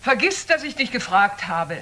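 A woman's voice: mid-range voice, very animated, normal speed, natural voice. An actress playing a proud, weary middle-aged woman doctor in a crime drama, dry and resigned. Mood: bitter, resigned. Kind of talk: German crime drama acting. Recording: roomy, noisy drama soundtrack.

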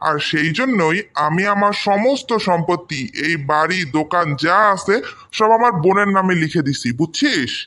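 Man acting defiant high-voiced young Bangladeshi brother. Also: animated, moderate pace, pushed and bright. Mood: defiant, accusing. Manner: argumentative, insistent. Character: defiant, protective young brother standing up for sister. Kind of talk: amateur cartoon story dubbing.

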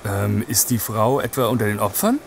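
A man's voice: on the low side, normal speed, creaky, a little hiss or hum. An actor playing a polite, inquisitive male junior police detective in an audio drama, deferring to a superior. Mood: curious, doubtful. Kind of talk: German audio drama acting.

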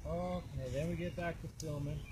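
A man's voice: a little high, fairly even intonation, normal speed, dark tone, slight background noise. A man hosting an exploring video, giving casual, off-the-cuff commentary with muttered exclamations and some swearing. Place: on location at an abandoned house.

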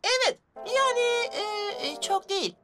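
A woman voicing a young, mischievous magical child who longs for friends, expressive and childlike, in a cartoon dub. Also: very high-pitched, a little slow, background noise. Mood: hesitant. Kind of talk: animated children's series dub. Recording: music behind the voice.

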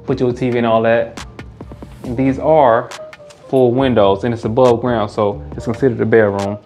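A man's voice: mid-range voice, flat delivery, normal speed, a little mellow, faint music behind. A man gives a casual, upbeat house tour in bare indoor rooms, repeating his catchphrases. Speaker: realtor and house flipper. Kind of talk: walkthrough house tour vlog.

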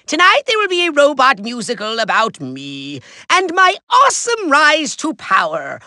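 An actor playing a small, pompous, manic evil overlord in a video game, declaiming grandly in a nasal, gravelly voice. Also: male voice, very high voice, bright, forceful tone, dry recording, clean studio sound, heavily acted. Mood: imperious, gloating. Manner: grandiose, theatrical, drawn-out declaiming. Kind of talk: video game villain voice acting.

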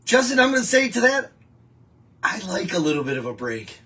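A man's voice: sits high, very animated, brisk, sharp tone. A middle-aged man, hosting a casual live stream and answering viewer comments in a rambling, chatty way. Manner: chatty, rambling, frequent restarts. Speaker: show host reading viewer comments. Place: home studio with a wallpaper backdrop.

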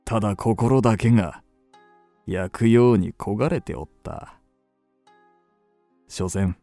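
An actor playing an aging, ardent, scheming kabuki star in a period radio drama, speaking in theatrical, archaic Japanese phrasing. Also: male voice, fairly low pitch, dark tone, no room sound. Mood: yearning, pained.

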